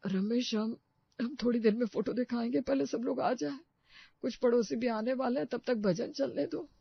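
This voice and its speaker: Guy doing a worried melodramatic older uncle, pretty high voice, muffled dry story audio. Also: moderate pace, vocal fry, slightly rough, dry recording, exaggerated voice. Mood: anxious, evasive. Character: fretful older family uncle in a comic tale.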